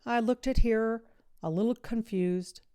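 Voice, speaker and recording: A woman reading a children's story aloud in expressive, measured, clearly phrased narration. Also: fairly high pitch, no room sound, clean studio sound. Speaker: audiobook reader, teacher.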